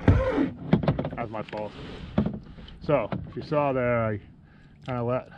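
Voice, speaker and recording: A man's voice: medium pitch, slow, muffled recording. Chatty man fishing from a kayak. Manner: relaxed, rambling, talks to camera while casting. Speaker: vlogger. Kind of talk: casual narration while fishing. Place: in a kayak on a pond outdoors. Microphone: action camera behind his head. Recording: outdoor camera audio with steady noise.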